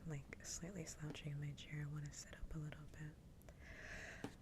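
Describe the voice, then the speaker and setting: A woman whispering softly and breathily in a casual, playful ASMR livestream, close to a sensitive microphone. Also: low-pitched, little pitch movement, normal speed. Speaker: livestream host.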